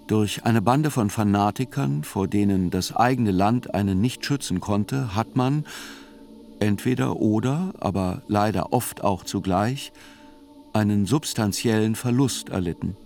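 Sober court chronicler, low voice, measured radio play narration, faint music underneath. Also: male voice, vocal fry, a little mellow.

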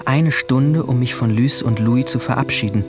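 A man's voice: mid-range voice, close to an everyday voice. An actor playing an anxious, guilt-ridden seventeen-year-old boy, narrating his story introspectively in an audio drama. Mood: brooding. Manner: introspective, halting in dialogue. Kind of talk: first-person narration in a German audio drama. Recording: music under the voice.

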